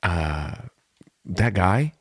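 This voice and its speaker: A man's voice: low voice, lively intonation, very slow, a bit bright, slightly rough, dry recording, studio quality. A man co-hosting a podcast with casual comedic banter, playful and given to repeating phrases. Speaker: podcast co-host.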